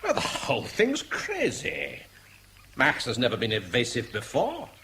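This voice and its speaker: Excitable English gentleman, very animated. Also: medium pitch, measured pace, exaggerated voice. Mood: puzzled. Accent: British English. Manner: exclamatory, genteel. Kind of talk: 1970s British radio drama acting. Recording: faintly hissy vintage radio drama recording.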